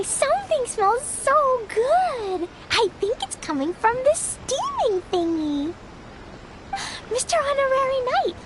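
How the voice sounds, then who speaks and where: A woman's voice: very high-pitched, heavily acted. A woman voicing a sweet, wide-eyed little girl in a video game, eager and innocent, with childish wording. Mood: delighted wonder. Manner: childish wording, gushing wonder, hesitant questions. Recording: loud noise behind the voice.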